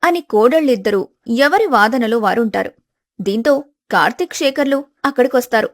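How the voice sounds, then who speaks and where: A woman's voice: a little high, expressive, normal speed, no echo, clean studio sound. A woman narrating a scripted family story as a storyteller.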